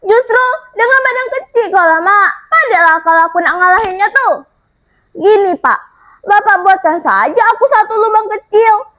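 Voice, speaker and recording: A woman's voice: very high-pitched, lively intonation, normal speed, a bit bright, voice pushed up. A woman voicing a tiny, brave young man in a folktale, bold, insistent and cocky. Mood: determined. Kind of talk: theatrical folktale storytelling.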